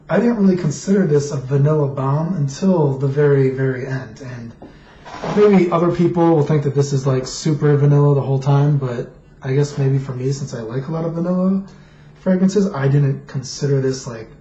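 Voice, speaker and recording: Young man, deep voice, rambling casual vlogger; dull mic in an echoey room. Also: dark tone. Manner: rambling, many fillers and restarts. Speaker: vlogger reviewing fragrances. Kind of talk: casual vlog review. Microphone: quiet desk microphone.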